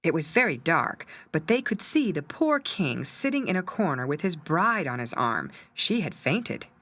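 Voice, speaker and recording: A woman's voice: low-pitched, sing-song, moderate pace, fairly bright tone. A woman narrating a children's story expressively, with dramatic emphasis.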